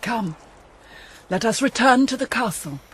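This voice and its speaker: An actress playing a devout, resigned princess in a gothic audio drama, speaking solemnly and formally. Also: female voice, on the high side, animated, unhurried, slight background noise. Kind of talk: gothic radio drama acting. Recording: clear music behind the voice.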